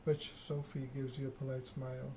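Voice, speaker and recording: A man's voice: mid-range voice, completely flat delivery, moderate pace, mellow, slight background noise, low-quality recording. A man doing an ASMR read-aloud of a narrative game, occasionally stumbling over the written text. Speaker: gaming channel host.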